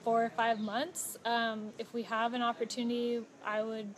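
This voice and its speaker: A woman's voice: a little high, normal speed. Chatty woman on a phone livestream. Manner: relaxed, informative, with fillers and little laughs. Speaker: travel vlogger. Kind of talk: casual live chat. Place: outdoors at a home stay patio. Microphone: phone held close. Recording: outdoor noise behind.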